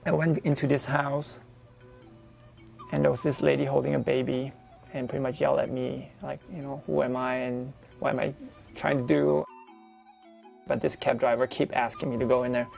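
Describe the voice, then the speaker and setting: A man with a Malaysian accent and a heavily creaky, mid-pitched voice talks over music. He is a non-native English speaker who feels his way through sentences, explaining things in a personal, unscripted way, as an artist would in an interview. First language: not English. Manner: halting, searching for words. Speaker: visual artist interviewed for a TV profile. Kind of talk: unscripted personal storytelling and explanation of own work.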